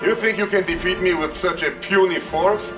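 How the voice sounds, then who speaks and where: An actor playing a boastful, blustering Soviet commander taunting the enemy as a video game villain. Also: male voice, sits high, a little slow, far from the mic, music in the background. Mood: mocking. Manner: blustering, mocking.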